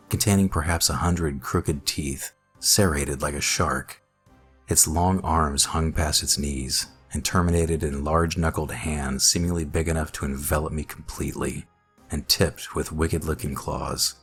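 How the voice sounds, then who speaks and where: A man narrating a scripted first-person horror story in a measured, dramatic way. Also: very deep voice, a bit bright, slightly rough. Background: music.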